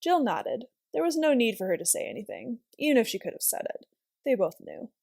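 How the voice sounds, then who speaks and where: Expressive woman reading a children's storybook. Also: on the high side, brisk, vocal fry, dry recording, clean studio sound. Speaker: volunteer storybook reader. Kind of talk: expressive children's audiobook narration.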